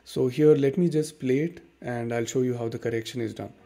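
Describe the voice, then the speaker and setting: A man in his thirties with an Indian accent, hosting a casual software tutorial at his desk and walking through each step with plenty of fillers. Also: medium pitch, a little fast. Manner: relaxed, many fillers and "okay"s, explains step by step. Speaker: tutorial host. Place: dim room at home.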